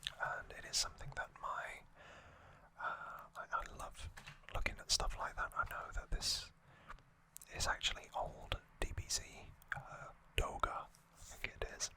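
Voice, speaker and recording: An ASMR host speaking in an unhurried, informal whisper, chatting through commentary close to the microphone. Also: pushed and bright. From United Kingdom. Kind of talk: whispered ASMR, relaxed show-and-tell commentary.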